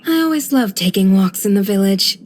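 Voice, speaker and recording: A woman voicing a serene, kindly guardian of a desert village in a video game, with a gentle, measured, formal storytelling delivery. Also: mid-range voice, lively intonation, slight background noise, lightly acted. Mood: calm, musing. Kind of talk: scripted video game character voice lines.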